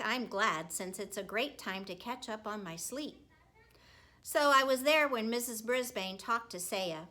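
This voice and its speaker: A woman reading a children's story aloud as a teacher would, with expressive narration and deliberate, emphatic phrasing. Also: medium pitch.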